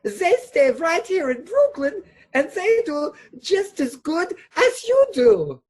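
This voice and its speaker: A woman's voice: very high voice, very animated, slow pace, darkish tone, heavily acted. A woman playing a nervous, wheedling male doctor, a criminal's hesitant sidekick in a radio play. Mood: wheedling, anxious. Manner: hesitant, pleading.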